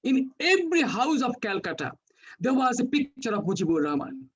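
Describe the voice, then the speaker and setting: A man from West Bengal with a Bengali accent, giving a formal, earnest webinar speech with halting restarts. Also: extremely high pitch, big pitch swings, fairly bright tone, husky, lo-fi sound. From West Bengal, India. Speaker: webinar speaker.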